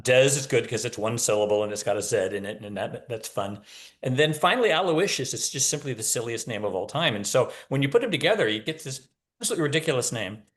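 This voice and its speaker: Guy author on a podcast, average pitch, wry, explains stuff point by point, chatty. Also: lively intonation, fast, a bit bright. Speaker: novelist, podcast guest. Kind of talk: casual interview talk.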